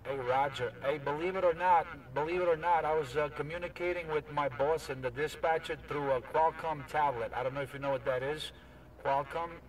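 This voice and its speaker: A man's fairly high voice comes over CB radio, sounding distant with some faint noise behind it. He talks casually, like a trucker telling stories to another operator. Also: a little fast. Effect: CB radio transmission.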